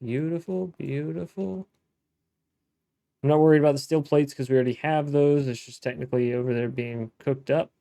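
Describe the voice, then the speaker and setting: A man's voice: on the high side, fairly even intonation, normal speed, gravelly, warm tone, dry recording. A man hosting a gameplay video, talking casually to himself as he plays, thinking aloud with restarts. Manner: chatty, thinking aloud, frequent restarts and self-talk. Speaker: gaming let's-play host.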